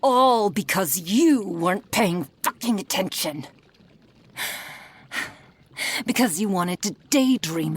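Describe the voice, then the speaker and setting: A woman's voice: medium pitch, husky, heavily acted. A woman performing an ASMR roleplay as a menacing, possessive fox-spirit witch, cruelly affectionate and condescending, often dropping into whispers. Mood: angry, scolding.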